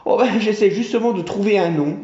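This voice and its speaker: A man's voice: high-pitched, a little fast, dark tone, pitched above the performer's own voice, lightly acted. A man voicing a fawning, chattering male monkey general who collects taxes. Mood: eager. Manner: obsequious, chattering. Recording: roomy, dull home recording.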